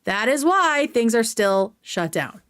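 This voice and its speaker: A woman's voice: a little high, big pitch swings, slow, fairly bright tone, smooth. Blunt American woman livestreamer. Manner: blunt, sweary, conversational. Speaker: live stream host reacting to clips. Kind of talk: casual opinionated livestream commentary.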